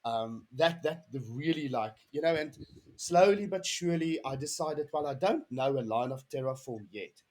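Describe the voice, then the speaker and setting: South African man, 30s, husky voice; podcast guest, earnest and rambling, restarts often. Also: on the high side, animated, moderate pace, a little mellow. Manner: rambling, earnest, with many restarts and "you know". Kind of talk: casual interview chat.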